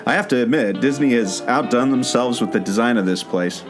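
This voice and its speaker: A man's voice: medium pitch, brisk. A man in a scripted audio play, earnestly explaining a mystery like a storyteller leading his listener along. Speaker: podcast co-host, former theme park skipper. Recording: guitar music behind the voice. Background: plucked guitar music.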